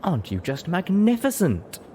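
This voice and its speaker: A caring and fussy pony mare voiced by a man for an audiobook story. Her manner is refined and motherly, like a medic looking after friends. The recording has clear background noise. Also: high voice, wildly swooping pitch, normal speed, dark tone, voice pushed up. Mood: admiring.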